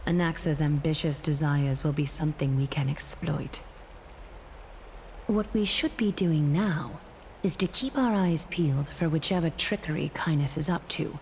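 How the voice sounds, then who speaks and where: Low-voiced, calculating leader. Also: female voice, a little mellow, a little hiss or hum. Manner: measured, formal, poised. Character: composed, calculating female leader, cool and authoritative. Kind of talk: video game voice acting.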